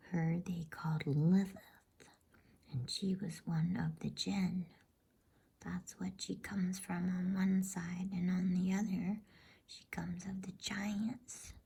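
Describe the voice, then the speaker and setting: A woman voicing an earnest, folksy male talking beaver in a breathy whisper, declaiming in measured phrases for a bedtime storybook. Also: lowish voice, fairly even intonation, dark tone, voice pushed up, exaggerated voice.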